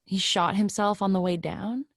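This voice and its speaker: A woman's voice: medium pitch, fairly even intonation, normal speed, close to an everyday voice. Blunt, probing grungy mid-twenties character female actor, medium register, tough and naturalistic play-reading delivery. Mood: skeptical, probing. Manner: blunt, questioning, clipped. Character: tough, grungy masculine-styled twenty-five-year-old, blunt skeptic. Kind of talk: remote play reading, naturalistic casual dialogue.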